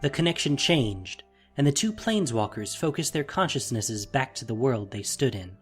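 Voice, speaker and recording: A narrator reading scripted fantasy drama in an expressive, deliberate storytelling manner. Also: mid-range voice. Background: faint music.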